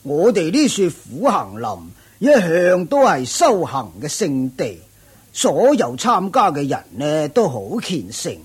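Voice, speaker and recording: An actor playing a proud older ascetic master in a radio drama, with a husky, raspy voice, a drawling self-satisfied manner. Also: male voice, a little high, huge pitch swings, takes it slowly, full character voice. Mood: explanatory, proud. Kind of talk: Cantonese radio drama acting.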